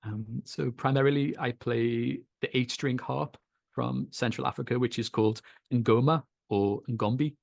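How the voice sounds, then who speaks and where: A man with a Liverpool accent, a podcast guest telling his story in an easy, measured way, with small repeats. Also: medium pitch, fairly even intonation, gravelly, no room sound, studio quality. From England. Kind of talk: relaxed storytelling in an interview. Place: home in Kenya.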